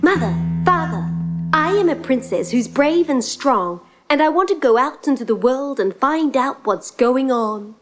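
A woman's voice: high voice, lively intonation, a little slow, soft background music. A woman storyteller voicing a brave, confident young princess who is bold, plain-spoken and kind. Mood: eager.

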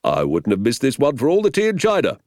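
Male reader as village bobby, rural British accent, jovial, emphatic, animated pitch, audiobook character. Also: fast, dry recording, clean studio sound. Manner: florid, folksy, emphatic. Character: hearty male village police sergeant, jovial and florid.

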